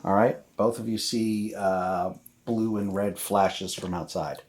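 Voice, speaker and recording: A man narrating a tabletop game as game master, improvising the scene in a halting way. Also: fairly low pitch, fairly even intonation, a little mellow.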